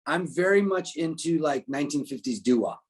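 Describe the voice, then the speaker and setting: A man with a slightly high, husky voice talks casually as a musician being interviewed. His delivery is animated and conversational, loose and unpolished. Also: fairly quick. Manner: animated storytelling, frequent profanity and filler phrases. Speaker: musician guest.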